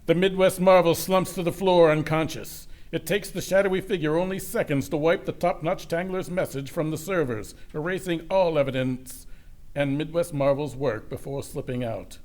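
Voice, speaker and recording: A man's voice: fairly high pitch, moderate pace, a little mellow, no room sound. A man narrating and announcing a scripted radio serial.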